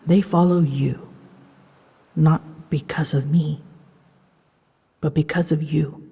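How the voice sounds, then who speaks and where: A woman playing an ancient female dragon in a fantasy ASMR drama, solemn and tender, speaking softly in measured, formal phrases and often near a whisper. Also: deep voice, steady tone, heavily acted. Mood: tender, earnest. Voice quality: soft, breathy, husky, often dropping into a whisper. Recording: long cavernous reverb.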